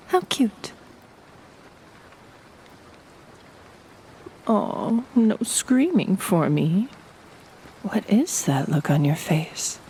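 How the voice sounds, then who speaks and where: A woman voicing a playful, sultry succubus in a flirty roleplay audio, teasing in a breathy half-whisper with a businesslike streak. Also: mid-range voice, mellow, a little hiss or hum. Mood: amused, intrigued.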